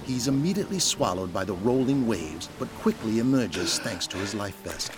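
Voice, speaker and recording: A man's voice: fairly low pitch, expressive, normal speed, background noise. A man narrating an audio drama in a scripted, dramatic storytelling style. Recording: music behind the voice.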